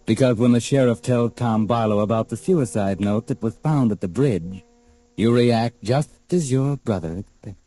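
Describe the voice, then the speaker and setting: An actor playing a knowing, taunting storyteller with an American accent, narrating a 1940s radio drama in an insinuating, mocking way. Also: male voice, medium pitch, moderate pace, warm tone, close to an everyday voice. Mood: taunting, ominous. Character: knowing, sinister, taunting storyteller addressing the characters. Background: music.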